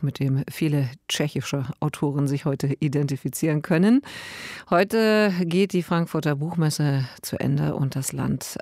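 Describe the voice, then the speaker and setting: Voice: mid-range voice, darkish tone, no room sound. Dry-sounding radio presenter. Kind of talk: radio announcing.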